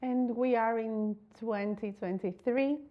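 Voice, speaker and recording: A woman interviewer asking questions, hesitant and filling pauses with "um". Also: on the high side, steady tone, lo-fi sound. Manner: hesitant, with fillers.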